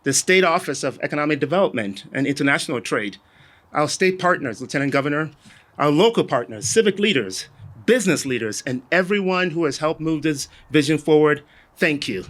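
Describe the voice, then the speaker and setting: A man's voice: on the high side, animated, fairly quick, fairly bright tone. A man speaking as a city mayor, giving a formal civic speech, rallying and listing his thanks.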